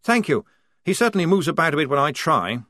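A man's voice: on the high side, big pitch swings, fairly quick, pushed and bright, dry recording, studio quality, voice pushed up. A man voicing a fussy, nervous young army lieutenant who stammers and frets politely. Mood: flustered.

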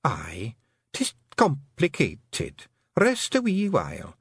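A man's voice: mid-range voice, huge pitch swings, slow, slightly rough, no echo, pitched above the performer's own voice, heavily acted. A man voicing a small, proud pixie woman who speaks formally with a Scottish accent.

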